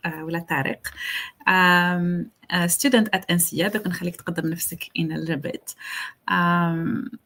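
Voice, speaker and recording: Fast-talking Algerian woman hosting live. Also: medium pitch. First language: Arabic. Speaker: webinar host. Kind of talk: casual live-stream hosting, switching between Arabic and English.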